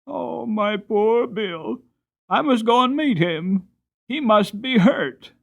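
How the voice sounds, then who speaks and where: Storybook farm wife played by a man: high-pitched, tearful, fretting over her child. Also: expressive, slow, creaky, exaggerated voice. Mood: tearful worry. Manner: tearful, fretful. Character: distraught farmer's wife, fretting tender mother.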